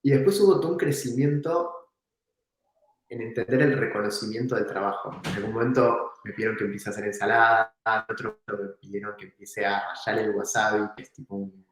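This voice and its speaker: A man's voice: lowish voice, normal speed. Argentine-sounding man in a very echoey room. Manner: relaxed, reflective, laughs now and then. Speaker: entrepreneur guest. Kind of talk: casual storytelling in an interview.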